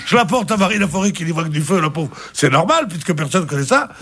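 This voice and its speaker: A man's voice: fairly high pitch, lively intonation, fast talker, a bit bright. A man chatting as a guest on a panel show in a playful, mock-serious way. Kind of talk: casual panel chat.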